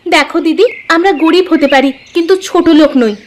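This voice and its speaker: A woman's voice: extremely high pitch, fast, slight background noise. A woman voicing a proud, plain-spoken poor village wife in a cartoon drama. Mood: indignant.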